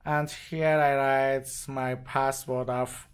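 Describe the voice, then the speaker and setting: A man with non-native, halting English walks step by step through an unscripted product demonstration. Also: medium pitch, little pitch movement, clean tone. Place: indoors at a desk with devices.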